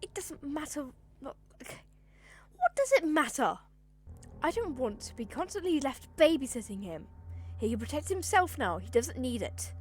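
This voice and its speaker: A woman's voice: high-pitched, very animated, moderate pace. A woman voicing a brash, resentful female gem who grumbles and rebels in an amateur comic dub. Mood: angry, defiant.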